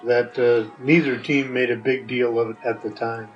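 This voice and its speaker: Slightly muffled lo-fi older guy answering questions casually, hesitant, soft music underneath. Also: medium pitch, steady tone, moderate pace. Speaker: guest, the hosts' father. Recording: dull lo-fi sound, faint music.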